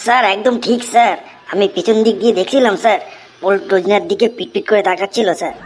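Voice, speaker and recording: A woman's voice: medium pitch, fast talker, a little hiss or hum, natural voice. A woman voicing a cheeky, mischievous schoolboy in a cartoon comedy, impudent and mock-polite. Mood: mock-innocent. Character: cheeky mischievous schoolboy, teasing and smart-mouthed.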